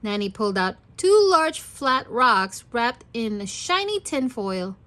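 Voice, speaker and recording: Slow, high-voiced woman storyteller. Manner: expressive, addressing young children. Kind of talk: children's read-aloud narration.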